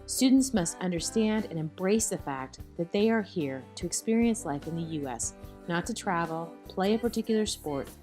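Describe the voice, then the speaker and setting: Woman, lowish voice, scripted narration, light music behind. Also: lively intonation, normal speed, darkish tone.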